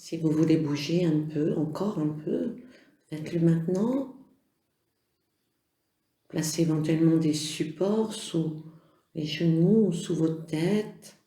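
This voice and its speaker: A yoga nidra instructor guiding a relaxation meditation in gentle, measured phrases with pauses. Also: medium pitch, reverberant. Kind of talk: slow guided relaxation meditation.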